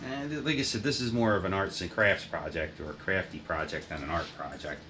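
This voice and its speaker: A man's voice: deep voice, a little fast, sharp tone. A man casually narrating his bookbinding work at home, rambling and swearing with self-deprecating asides. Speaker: hobbyist bookbinder vlogger. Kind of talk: casual workshop how-to narration. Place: home workshop with heater blowing. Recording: wind noise from heater on mic. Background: heater blowing and printer running.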